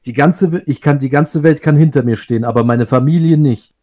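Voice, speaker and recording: A man's voice: fairly high pitch, a little fast, warm tone. A man from Russia talking in a casual online group chat, thoughtful and often restarting his sentences. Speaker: discussion guest.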